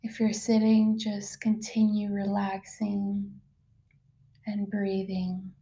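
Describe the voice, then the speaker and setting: A woman guiding a meditation, soft-spoken and unhurried, leaving long pauses between soothing phrases. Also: mid-range voice, monotone. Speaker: meditation guide. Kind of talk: guided meditation, slow soothing narration.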